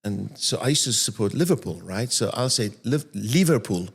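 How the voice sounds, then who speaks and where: A soft-spoken man in his fifties from Iran who moved to England as a teenager, telling personal stories as an interview guest. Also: fairly low pitch, lively intonation, a little fast, creaky, breathy edge. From born and raised in Iran, moved to England at 13. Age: 50s. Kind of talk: animated personal storytelling in an interview.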